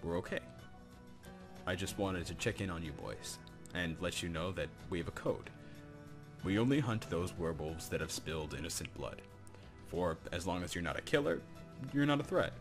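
A very low-voiced middle-aged father and werewolf hunter, acted by a man, speaks with formal, measured menace. He sounds stern and in command, like the heavy of a scripted roleplay drama, with music underneath. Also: expressive. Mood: controlled, polite. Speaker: voice actor in a roleplay series.

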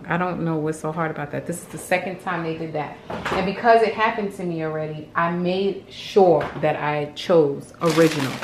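A woman's voice: fairly low pitch, background noise. A woman in her thirties chatting casually in her kitchen, venting with small laughs while showing groceries. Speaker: home vlogger. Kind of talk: casual grocery haul and cleaning chat. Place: home kitchen. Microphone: handheld phone camera.

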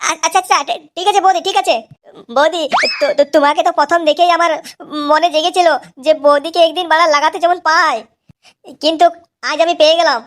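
An actor voicing a crude, excitable young cartoon man who stammers and swears in an exaggerated comic style. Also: very high-pitched, fast talker. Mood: nervous, lustful. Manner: stammering, repeats words, swears constantly. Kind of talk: exaggerated comedy cartoon voice acting.

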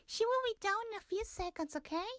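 A woman's voice: very high voice, expressive, fast talker, a bit bright, dry recording. A woman voicing a catty, scatterbrained female hair stylist in a cartoon, fussy, mock-sweet and dramatic. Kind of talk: animated cartoon voice acting.

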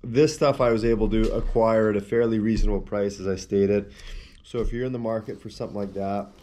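A man's voice: lowish voice, steady tone, a little fast, dark tone. A man in his forties giving a casual, rambling review to camera at a desk in a small room. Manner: rambling, easygoing, talks while handling the tub and shaker. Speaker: protein powder reviewer. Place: small indoor room with shelves of supplement tubs. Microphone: camera at a desk, wide framing.

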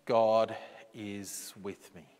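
A man preaching a sermon in a church, slow and deliberate, with dramatic pauses and quotes he acts out. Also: on the low side, flat delivery, a little mellow. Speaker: pastor. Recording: reverberant church hall.

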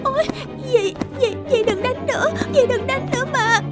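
A gentle young orphan girl in a fairy tale cartoon. Her voice is extremely high, earnest and plaintive, with a polite, tender way of speaking. Music plays clearly behind her. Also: moderate pace. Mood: pleading.

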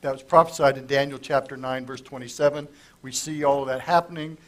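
A man's voice: mid-range voice, steady tone, fairly quick, fairly bright tone. A middle-aged man preaching a conversational Bible-study sermon in a church sanctuary, speaking at a lectern microphone while his voice is giving out. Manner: conversational, asks the congregation questions. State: losing his voice, coughing. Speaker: pastor. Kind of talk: sermon, verse-by-verse Bible teaching.

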